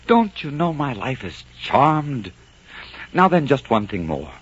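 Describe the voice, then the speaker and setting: An actor playing a bold romantic highwayman and verse teller, intense and declamatory in 1940s radio style. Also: very animated, slow, slight background noise. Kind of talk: theatrical 1940s radio acting and verse recitation. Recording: muffled 1940s radio recording with music.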